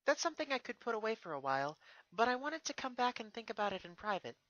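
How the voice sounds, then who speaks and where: A reader voicing a gentle young female pony princess in an earnest, expressive fan fiction reading. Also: mid-range voice, fast talker, no room sound, dull audio, pitched above the performer's own voice. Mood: unsure, thoughtful.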